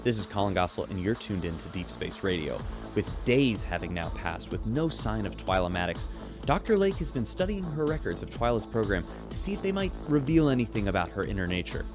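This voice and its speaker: A man's voice: lowish voice, big pitch swings, fairly quick, music in the background. A man voicing a chatty, wry radio news host aboard a starship, reading scripted reports.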